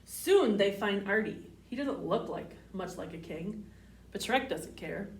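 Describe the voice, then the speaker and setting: Female children's storyteller: sing-song, lively pitch, unhurried, recorded in a roomy space. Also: a little hiss or hum. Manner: sing-song, addressing children with simple questions. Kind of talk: storybook read-aloud for young children. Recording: roomy, distant microphone.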